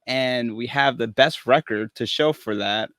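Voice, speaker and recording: A man's voice: mid-range voice, a little slow, a bit bright, no echo. A man co-hosting a casual soccer podcast from a small studio, sharing opinions he keeps hedging with "in my opinion". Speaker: podcast co-host. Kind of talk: casual sports chat.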